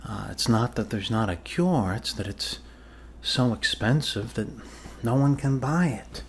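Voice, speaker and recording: A man doing an improvised ASMR role-play as a doctor, talking in a halting, deliberate, deadpan way to a patient. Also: mid-range voice, slight background noise. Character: blunt, casually shady male family doctor reassuring a patient. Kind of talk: ASMR medical role-play, improvised personal-attention talk.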